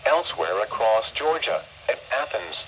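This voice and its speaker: A man's voice: mid-range voice, very animated, moderate pace, lo-fi sound. A male announcer reading a scripted weather bulletin, heard over a radio broadcast. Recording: very noisy radio playback with clicks.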